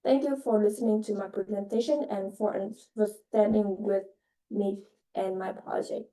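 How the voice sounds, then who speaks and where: A young woman giving a project presentation in halting, hesitant English. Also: mid-range voice, very animated, gravelly, warm tone. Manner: halting, hesitant phrasing in non-native English. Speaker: student presenter. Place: video call. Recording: roomy, distant mic on online call.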